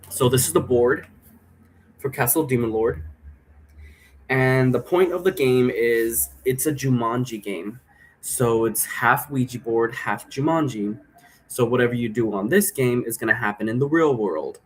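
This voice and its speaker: A man hosting a solo vlog, talking in a chatty, informal, meandering way. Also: mid-range voice. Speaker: host. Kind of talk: casual rambling solo vlog. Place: indoor room at home.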